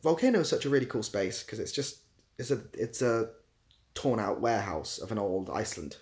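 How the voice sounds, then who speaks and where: A man with a fairly low voice chats informally on a livestream. He rambles as he replies to comments, often hesitating to find the right word. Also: lively intonation, fairly quick, darkish tone. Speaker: ASMR creator hosting a livestream; theatre assistant producer. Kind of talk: casual livestream chat, answering viewer comments.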